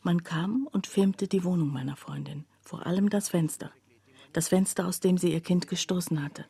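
A husky woman's voice with a soft, dark tone, playing a sombre townswoman in a radio play. She tells of grim events in a measured, deliberate way, often sinking to a hush. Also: lowish voice, no echo, exaggerated voice. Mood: grave, restrained. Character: sombre female townswoman recounting her friend's terrible deed. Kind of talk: radio drama monologue narration.

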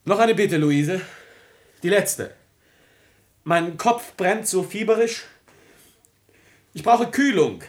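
A man's voice: high-pitched, lively intonation, takes it slowly, pauses often. An actor playing an anguished, jealous young nobleman in a declamatory classical tragedy for radio, moving between whispers and outcries. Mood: forced calm, feverish.

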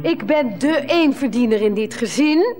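A woman's voice: sits high, expressive, a little slow. A woman playing a long-suffering middle-aged breadwinner wife in a radio play, speaking with dry, wordy sarcasm. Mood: sardonic, aggrieved.

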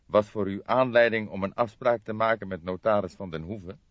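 A man voicing a stern, dogged police detective who questions formally and gruffly in an audiobook. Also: lowish voice, brisk, no room sound, pitched above the performer's own voice, close to an everyday voice. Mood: probing. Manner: gruff, drawling, emphatic, formal. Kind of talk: audiobook character voice. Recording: dull lo-fi audiobook recording.

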